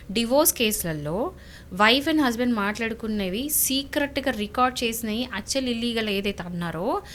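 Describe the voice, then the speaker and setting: A woman with a Telangana Telugu accent gives legal advice in an emphatic, conversational way. Her voice sits fairly high. She repeats points and poses rhetorical questions, with some faint noise in the recording. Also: a bit bright. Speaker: lawyer, guest legal expert.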